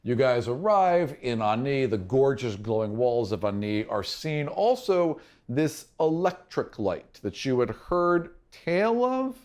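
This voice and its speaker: A man's voice: mid-range voice, sing-song, a little slow, few pauses, darkish tone. A man narrating a fantasy tabletop game as game master, descriptive and informal.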